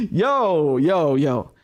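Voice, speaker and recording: A young man from Syria hosting a live political commentary stream, ranting passionately and swearing between quick asides. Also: fairly high pitch, slight background noise.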